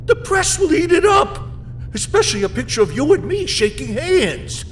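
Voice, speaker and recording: A man's voice: very high voice, big pitch swings, moderate pace, slight background noise, exaggerated voice. A man playing a self-important, entitled politician held captive in a radio drama, whiny and pompous. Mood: smug. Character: self-important, entitled male politician, a rescued kidnap victim.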